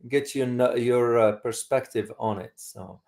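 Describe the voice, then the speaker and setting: Male host, from Morocco, casual live discussion style, halting delivery with repeated words, middling pitch. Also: gravelly, darkish tone.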